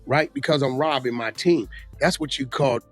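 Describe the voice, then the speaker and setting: A man's voice: medium pitch, moderate pace. A man chatting casually and bluntly, with emphasis and a lot of slang. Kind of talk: casual opinionated chat about street gossip. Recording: music playing under the voice. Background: music.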